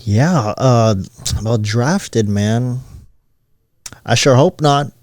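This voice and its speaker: A man's voice: mid-range voice, very slow, darkish tone, no echo, studio quality. A man co-hosting a casual podcast, laid-back and slangy, tossing teasing questions at his friend. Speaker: podcast co-host.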